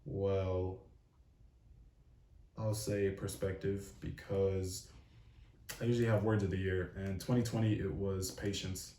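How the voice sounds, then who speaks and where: Low mellow 20 yr old guy asking his buddies questions, echoey room, mic far off. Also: flat delivery, takes it slowly, long pauses. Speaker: interviewer. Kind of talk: casual friend interview. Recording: roomy, distant mic.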